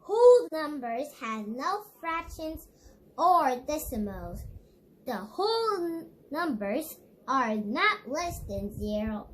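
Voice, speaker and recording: Slow, very high, childlike female voice. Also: big pitch swings, a little hiss or hum, rough recording. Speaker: read-along book reader. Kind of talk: read-aloud of a children's educational book.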